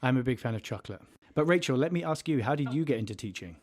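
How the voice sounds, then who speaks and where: A man's voice: medium pitch, expressive, fast talker, darkish tone. A man in his thirties, a teacher co-hosting a casual podcast with a playful, self-mocking humor. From England.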